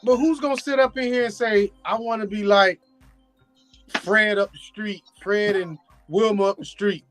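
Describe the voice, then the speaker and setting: A man on a talk show arguing in casual chat, blunt and animated. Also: high voice, moderate pace, faint music behind. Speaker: show regular.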